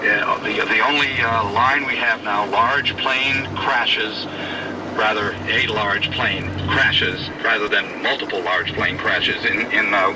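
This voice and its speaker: A man reporting breaking news live, shaken and halting with many fillers. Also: lowish voice, fairly even intonation. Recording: distant car radio over road noise.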